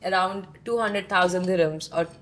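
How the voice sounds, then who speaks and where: A woman's voice: fairly low pitch, normal speed, fairly bright tone. A young woman from India co-hosting a podcast in casual chat, talkative, with many fillers and restarts. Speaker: podcast co-host.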